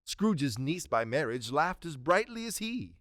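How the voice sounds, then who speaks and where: Male radio-play narrator: dramatic scripted storytelling, lively intonation, close dry sound. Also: on the high side, unhurried, no echo.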